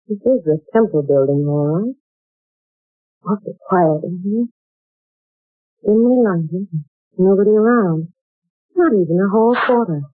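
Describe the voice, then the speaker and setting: A woman's voice: lowish voice, no echo. An actress playing a proper, hungry young woman looking for work, in a 1940s radio drama, on a tinny old broadcast recording. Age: young adult.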